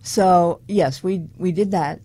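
An older woman from Long Island, New York, a guest telling her life story casually and a little haltingly. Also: lowish voice, mellow. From Long Island, New York, United States. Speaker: interview guest, women's activist.

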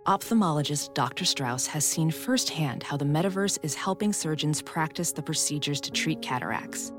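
A woman narrating a scripted commercial in a measured way with rising emphasis. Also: low-pitched, lively intonation. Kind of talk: scripted ad read. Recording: voice over music bed.

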